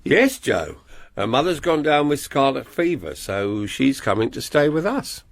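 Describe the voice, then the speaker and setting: A man's voice: mid-range voice, sing-song, a little slow. An actor playing a genial middle-aged husband and landlord who teases his wife fondly, in a period radio drama. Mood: hopeful. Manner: hearty and fond, teasing his wife.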